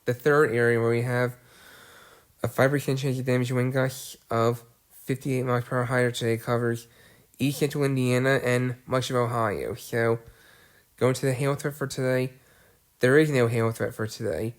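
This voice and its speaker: A man's voice: medium pitch, fairly even intonation, normal speed. A man with a cold, sounding congested, giving a severe weather update and reading out long lists of areas with some restarts. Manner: plodding list-reading with restarts. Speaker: weather video host. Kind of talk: casual weather briefing.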